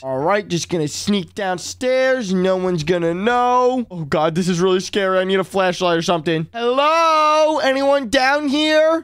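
Very high-pitched excitable little boy. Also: no echo, studio quality. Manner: breathless, whiny, dramatic exclamations. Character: excitable young boy ghost hunter, easily frightened. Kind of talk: hammy comedy skit acting.

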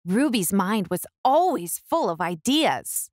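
A woman reading a picture book aloud to young children in an expressive storytelling style. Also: mid-range voice, slow, no room sound, studio quality. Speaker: children's book reader and channel host.

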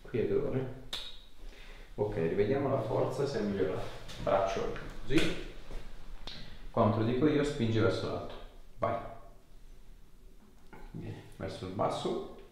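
A man's voice: lowish voice, unhurried, creaky, long pauses, a little mellow. A man, an osteopath giving a patient casual, reassuring short instructions in a small clinic room. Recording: echoey small room, background noise, off-mic.

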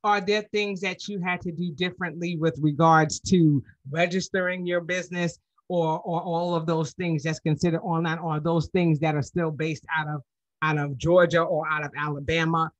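Woman podcast host, very low voice, dry close mic. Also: level tone, moderate pace, no room sound. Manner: frequent short affirmations. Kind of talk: interview hosting. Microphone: podcast mic on a stand. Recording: dry, slightly dull call audio.

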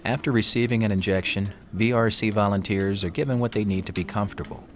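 A man giving calm, formal, scripted narration for an institutional clinic tour video. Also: lowish voice, fast, slight background noise. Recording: voice-over with loud background music.

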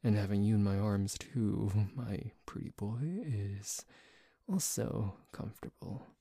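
A man playing a dorky, grumpy, possessive but secretly tender boyfriend in an ASMR roleplay, grumbling haltingly with sighs. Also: on the low side, creaky, mellow, husky, dry recording, studio quality, full character voice. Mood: softening, affectionate.

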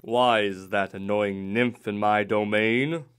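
An actor playing a stern god of the underworld in an audio drama, commanding and formal. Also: male voice, lowish voice, steady tone, takes it slowly, fairly bright tone, clean tone. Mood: annoyed.

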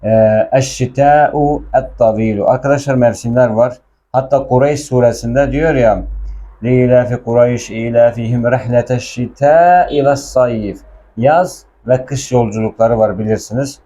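A man with a dark, relaxed voice teaches a language lesson. He is informal and patient, repeating words and explaining them at a mid-range pitch. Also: normal speed.

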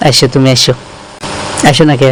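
A man's voice: medium pitch, little pitch movement, a little fast. A man with a Bangladeshi accent in an intimate, casual phone chat, talking in a coaxing, romantic way. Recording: noisy phone call recording.

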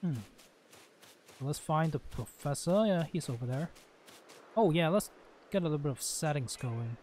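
A man's voice: a little high, animated, moderate pace, darkish tone, slight background noise. A man giving casual, rambling and blunt commentary as he hosts a gameplay video. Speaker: gaming video host playing and commenting.

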